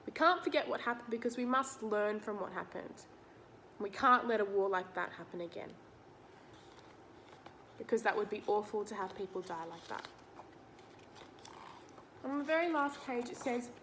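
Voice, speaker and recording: A woman's voice: a little high, fairly bright tone, slight background noise. A woman reading a picture book aloud to children, explaining it simply and putting questions to the listener. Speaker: read-aloud teacher.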